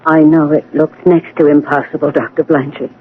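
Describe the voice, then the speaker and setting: Actress as devoted nurse, anxious, tender, muffled old radio play, faint hiss. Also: female voice, deep voice, level tone, normal speed, natural voice. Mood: worried. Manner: earnest, pleading delivery. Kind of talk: radio drama acting.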